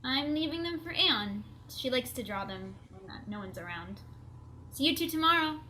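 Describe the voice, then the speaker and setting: A woman's voice: sits high, lively intonation, normal speed, bright, forceful tone, rough recording. A woman playing an imaginative, candid little girl with matter-of-fact childlike chatter in a dramatic script reading. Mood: cheerful. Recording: clear background noise.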